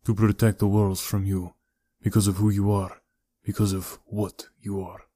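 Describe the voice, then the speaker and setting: Man as a low, weary, grave older uncle. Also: lightly acted. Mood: deadpan. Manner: measured, deadpan, subdued. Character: grave, weary, aging uncle, protective and regretful. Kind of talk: audiobook character dialogue.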